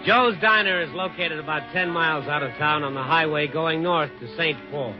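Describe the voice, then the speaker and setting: A man's voice: fairly high pitch, normal speed, close to an everyday voice. An American man acting a wry, hard-boiled night reporter in a 1950s radio drama. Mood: wry narration. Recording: dull old radio recording, music behind.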